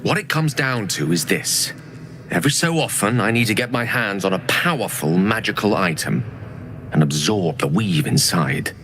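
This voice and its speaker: A man's voice: fairly low pitch, animated, moderate pace, background noise, close to an everyday voice. An actor playing an earnest, genteel male wizard in a fantasy game, with a formal, wordy, courteous manner. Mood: grave, urgent. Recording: music under the voice.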